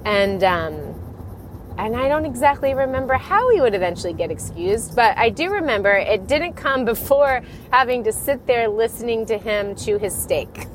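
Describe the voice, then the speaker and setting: A woman's voice: fairly high pitch, animated, normal speed. Congested woman talking chattily on her podcast. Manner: rambling, chatty, laughs often. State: congested from a cold. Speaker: podcast host and divorce coach. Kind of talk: casual solo podcast talk. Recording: audible background noise.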